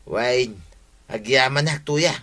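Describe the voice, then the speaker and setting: A man's voice: medium pitch, slow pace, bright, forceful tone. An Ilocano man playing a hapless, apologetic villager in a radio comedy drama, pleading and making excuses in a whiny manner. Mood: sheepish.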